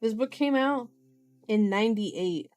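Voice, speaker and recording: A woman's voice: fairly high pitch, a little slow, a little mellow. A woman hosting an ASMR book reading, playful and chatty, mixing narration with casual asides. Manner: playful, chatty, strays from the text into comments. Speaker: host of an ASMR channel.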